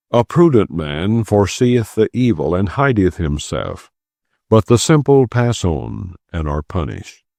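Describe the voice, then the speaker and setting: Deep gravelly guy reading bible verses, solemn and measured, really dry close sound. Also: darkish tone, no echo. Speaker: audiobook narrator. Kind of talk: scripted scripture reading, non-dramatized.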